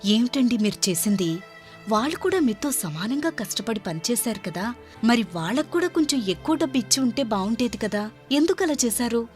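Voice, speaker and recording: A woman's voice: on the high side, animated, fairly quick, soft background music, lightly acted. A woman voicing a frank, sensible village cook's wife in a conversational way in a cartoon moral story. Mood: reproachful.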